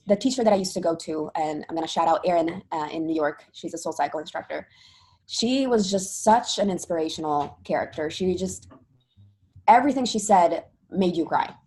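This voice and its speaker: A woman's voice: fairly low pitch, darkish tone. A young woman from Italy, talking casually in an interview with many fillers and restarts. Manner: chatty, rambling with frequent fillers and restarts. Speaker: interviewee, spin instructor.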